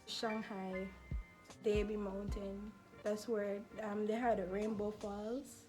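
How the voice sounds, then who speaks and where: A woman's voice: medium pitch, level tone, slow, music in the background. A nineteen-year-old woman from Jamaica with a Jamaican accent, chatting casually in a Q&A vlog, laughing often and filling with "you know" and "like". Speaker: vlogger.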